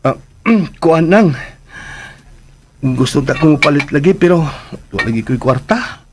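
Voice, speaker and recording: A man's voice: on the high side, lively intonation, a little slow. An actor playing a naive, anxious young provincial man in a radio drama, with a Visayan Philippine accent and a plaintive, pleading manner. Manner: plaintive, pleading, talks to himself. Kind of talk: radio drama acting in Cebuano. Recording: radio drama with background noise.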